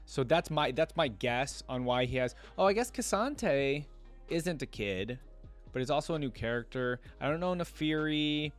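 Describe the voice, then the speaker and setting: A man's voice: on the high side, animated, normal speed, faint music behind. A man giving casual, rambling reaction commentary as a video host, often checking in with the listener. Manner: rambling, checks in with "right?" and "you know".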